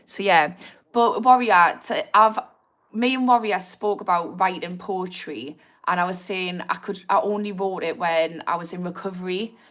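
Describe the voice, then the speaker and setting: A woman's voice: lowish voice, normal speed, a bit bright. A young woman from Newcastle with a Geordie accent, chatting casually on a livestream and reading viewer comments aloud. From Newcastle, England. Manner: chatty, informal, reads viewer comments aloud, laughs easily. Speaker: livestream host on addiction recovery. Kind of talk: casual live-stream chat reading comments.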